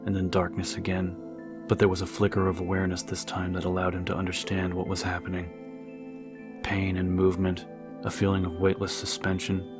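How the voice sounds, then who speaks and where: A man narrating a dramatic story in a scripted audiobook style. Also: low-pitched.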